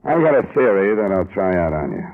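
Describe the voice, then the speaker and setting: Deep-voiced hard-boiled American radio detective. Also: male voice, sing-song, fast, gravelly, exaggerated voice. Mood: accusing, blunt. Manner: clipped, pressing, matter-of-fact. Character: terse, hard-boiled male investigator, blunt and world-weary. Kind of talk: old-time radio detective acting and narration. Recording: tinny old radio recording, music.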